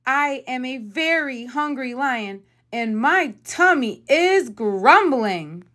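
A woman's voice: high-pitched, lively intonation, slow pace, a bit bright, pitched above the performer's own voice, exaggerated voice. Woman voicing a very hungry storybook lion. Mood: indignant. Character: very hungry, naughty male lion, scheming yet polite. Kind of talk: storybook character voice.

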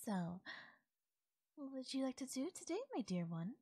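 A woman voicing a doting, possessive yandere fairy in an intimate audio roleplay, coaxing and softly teasing. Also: high-pitched, sing-song, moderate pace, breathy edge, dry recording, clean studio sound, voice pushed up, full character voice. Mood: affectionate, musing. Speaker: voice actor. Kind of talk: intimate audio roleplay, ASMR-style acting.

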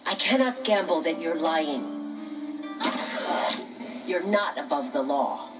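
Game enforcer, low female voice, strict and dutiful, formal questioning, music behind. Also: lively intonation, slow. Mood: stern. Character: stern, duty-bound female law officer. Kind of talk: fighting game character dialogue. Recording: distant game audio with music.

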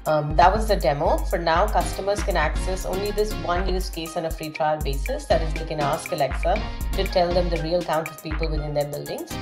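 A woman's voice: low voice, fairly quick, music in the background. A woman presenting a product demo in scripted narration over a video call.

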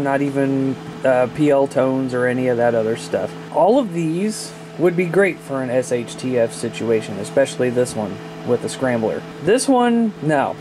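A man's voice: medium pitch, background noise. An American man in his thirties hosting a vlog, talking casually to his viewers. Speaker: vlog host reviewing radios.

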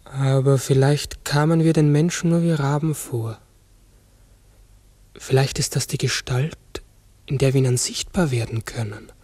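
An actor playing a large talking raven in a 1960s radio drama, brooding, uncertain and often correcting himself. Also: male voice, medium pitch, fairly even intonation, darkish tone, husky, no room sound. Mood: pensive, uncertain. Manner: halting, brooding, self-correcting. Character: human-sized talking raven, pensive, uncertain, forgetful.

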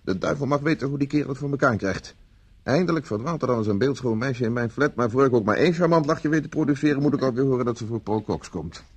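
An actor from the Netherlands playing a wry, flirtatious gentleman bachelor in a radio drama. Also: medium pitch, quick pace, slightly rough, natural voice. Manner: wry, courteous, mock-grumbling. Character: suave, wry, flirtatious bachelor, friend of a gambler.

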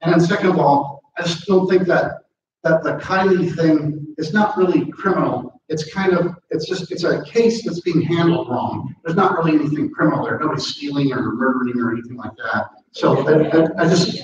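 A middle-aged American man with a slightly high voice, talking loosely and rambling through fillers and false starts. He is picked up from far across a big, very echoey meeting room. The sound is dull, with an audience murmuring behind him. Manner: rambling, many fillers and restarts. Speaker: state legislator speaking at a party meeting. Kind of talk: casual talk with audience questions. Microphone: far camera, no mic.

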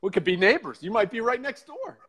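Animated, high-voiced man hosting a live call. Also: fairly quick. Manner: jokey, laughs often, animated. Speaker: podcast host. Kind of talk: casual live video interview. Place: living room at home, indoors. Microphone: earbud headset mic on a video call.

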